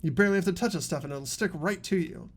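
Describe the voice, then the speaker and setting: A man's voice: high voice, fast. A man voicing an earnest teenage boy in a fan-dubbed mystery game, reasoning things out aloud. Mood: eager, explaining. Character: earnest teenage boy student working out a murder.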